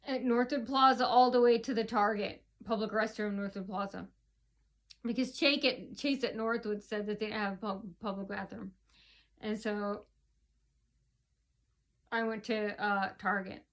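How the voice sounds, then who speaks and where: A woman vlogger talks on and on to her audience in a fairly high, tense, bright voice. She wanders from point to point and breaks off to restart her sentences. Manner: rambling with many fillers and restarts. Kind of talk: rambling personal monologue.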